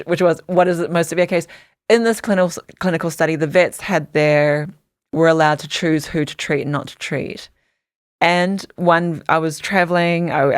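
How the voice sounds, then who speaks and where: A woman from Samoa speaks as an interview guest, with a notably low voice. She tells her stories in earnest detail, often restarting her sentences. The sound is dry and close. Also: dry recording. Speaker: podcast guest, dog skincare founder. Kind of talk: interview storytelling.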